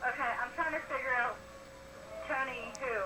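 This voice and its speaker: A woman's voice: high voice, normal speed, over loud music. A woman working at a bar, talking casually and familiarly in a folksy way on an echoey phone recording. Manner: familiar, folksy, calls caller hon. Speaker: bar employee answering the phone. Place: inside a busy bar, on the phone.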